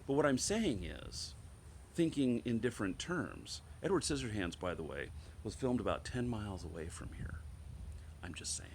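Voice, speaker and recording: A man with a congested voice from a head cold, hosting an online show with a casual opinion monologue, halting and emphatic as he thinks aloud. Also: mid-range voice, a little hiss or hum. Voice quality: slightly stuffed-up, congested. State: has a head cold, clearing throat.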